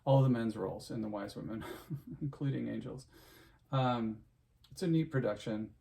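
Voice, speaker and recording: A man's voice: mid-range voice, normal speed, vocal fry. A middle-aged man, an opera singer, chatting casually to his viewers in a loose, rambling way with lots of fillers and restarts. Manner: chatty, full of fillers and restarts, warm sign-off advice.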